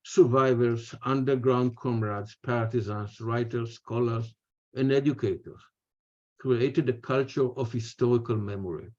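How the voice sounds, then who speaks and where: An older man with an Israeli accent gives a prepared webinar talk in deliberate, measured phrases. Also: mid-range voice, fairly even intonation, darkish tone. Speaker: speaker, retired history professor. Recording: muffled, lo-fi webinar audio.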